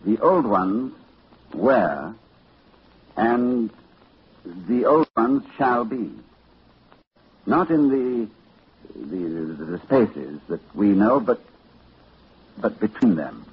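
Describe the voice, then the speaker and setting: An actor playing a scholarly librarian who narrates in a 1940s radio drama, halting and hesitant. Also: male voice, on the low side, husky, exaggerated voice. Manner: hesitant, halting when reading. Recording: dull old radio broadcast with music.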